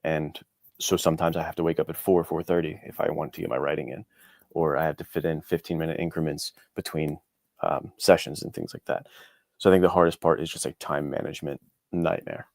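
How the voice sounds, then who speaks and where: Very deep-voiced man, earnest and casual. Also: steady tone, a little fast, a little mellow, no room sound, studio quality. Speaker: interview guest, indie author. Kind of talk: casual chat, reflective answers.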